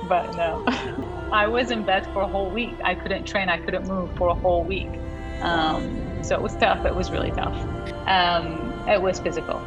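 A woman who grew up in Iraq and Canada speaks as a guest on a podcast. Her voice is a little low, and she answers in a loose, chatty way, with fillers and frequent laughs. There is faint music underneath. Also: unhurried, rough recording. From Iraq, then Canada. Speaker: fitness coach and guest. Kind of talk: casual interview answers.

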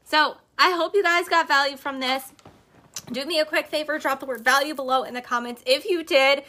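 A woman hosting a podcast, giving emphatic, repetitive business advice straight to her listeners, her voice slightly hoarse. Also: high-pitched, steady tone, fairly quick, bright, forceful tone. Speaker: podcast host and network marketer. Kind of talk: motivational business talk on a live stream.

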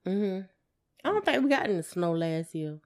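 A woman's voice: fairly low pitch, very animated, normal speed, darkish tone, clear, resonant tone. A woman chatting in a laid-back, plain way as a podcast co-host. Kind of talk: casual chat with spouse.

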